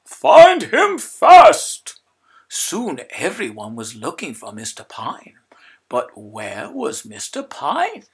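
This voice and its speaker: A man reading a children's story aloud in an expressive, emphatic way. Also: mid-range voice, takes it slowly, husky. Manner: expressive, emphatic, repeating phrases for effect. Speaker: storybook reader. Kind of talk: animated children's read-aloud narration.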